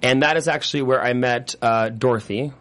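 Animated, gossipy young man. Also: medium pitch, a little fast. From New Hampshire. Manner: animated, gossipy, repeats phrases. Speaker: guest. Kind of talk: casual interview chat.

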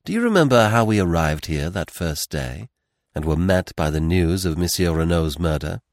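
A man voicing a detective's earnest, impatient gentleman companion in a blunt manner, for a mystery audiobook. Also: sits low, expressive, moderate pace, no room sound, studio quality. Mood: reflective.

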